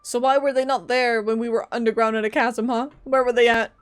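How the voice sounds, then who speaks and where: A woman streaming a game in a slightly high voice. She chats casually and teases the characters, with faint game music behind her. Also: level tone, fairly quick. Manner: talks back to game characters, teasing. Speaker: gaming streamer. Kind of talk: casual live gameplay commentary.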